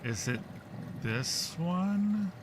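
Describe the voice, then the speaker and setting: A man's voice: high voice, big pitch swings, extremely slow, noisy background. A man casually commentating a horror game as a live streamer, joking and muttering to himself in a playful way.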